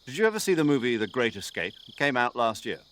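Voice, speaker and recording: A man voicing an older military commander in a video game, precise and drily good-humoured, articulate and measured as he briefs an agent. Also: mid-range voice, sing-song, a bit bright, slight background noise. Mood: wry, chatty. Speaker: mission commander giving a radio briefing.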